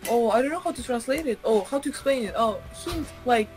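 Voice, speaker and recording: A 21-year-old woman from Uzbekistan, chatting on a video call, animated and expressive. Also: on the high side, a little fast, faint music behind, low-quality recording. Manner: animated and expressive, exclaims and laughs often. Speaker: video chat user. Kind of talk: casual video chat with strangers. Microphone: webcam video call.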